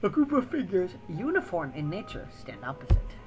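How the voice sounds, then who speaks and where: Lower-voiced woman narrating over loud music. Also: sing-song, normal speed. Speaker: narrator and player reading a visual novel. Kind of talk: expressive second-person story reading. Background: music louder than usual.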